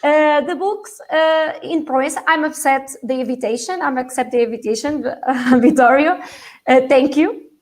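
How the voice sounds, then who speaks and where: A woman with a Portuguese accent, a municipal representative speaking hesitant, halting English on an online panel. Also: high voice. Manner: halting non-native English, laughs often.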